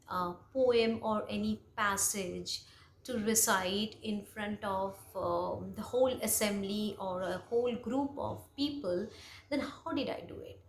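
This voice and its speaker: Reflective Indian woman in a roomy space. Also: mid-range voice. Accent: Indian English. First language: Bengali. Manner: reflective, frequent fillers and self-corrections. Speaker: language vlogger. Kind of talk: personal storytelling. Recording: roomy with faint ambient noise.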